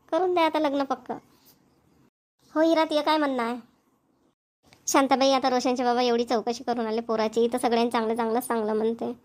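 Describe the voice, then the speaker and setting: A woman's voice: high-pitched, close to an everyday voice. A woman voicing an eager, practical village wife in a homemade cartoon, chatty and insistent in Marathi with a Vidarbha accent.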